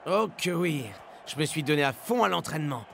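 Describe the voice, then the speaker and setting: An actor playing an eager, good-natured young star footballer in a French cartoon dub, casual and enthusiastic. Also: male voice, fairly high pitch, animated, a little fast, gravelly, fairly bright tone, a little hiss or hum. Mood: upbeat. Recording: music behind the dialogue.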